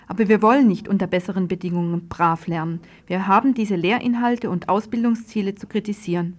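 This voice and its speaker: A woman's voice: medium pitch, darkish tone. A woman radio presenter reading a scripted political news report.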